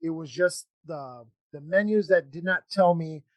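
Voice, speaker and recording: A man hosting a casual interview chat, talking in a halting, rambling way with false starts. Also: a little high, no room sound, lo-fi sound. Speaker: podcast host.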